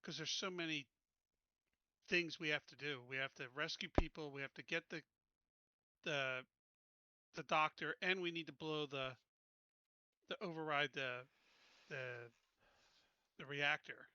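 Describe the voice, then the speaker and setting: A man's voice: fairly high pitch, a little slow, pauses often, sharp tone, smooth, dry recording, lo-fi sound. A man chatting casually as a player in a tabletop game.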